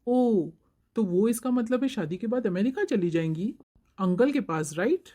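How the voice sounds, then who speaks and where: A young cartoon suitor acted by a woman, with a mellow, relaxed tone. He is courteous and eager to please. He gives way to requests politely. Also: medium pitch, normal speed, close to an everyday voice. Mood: accommodating. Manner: polite, accommodating. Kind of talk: Hindi cartoon moral-story voice acting.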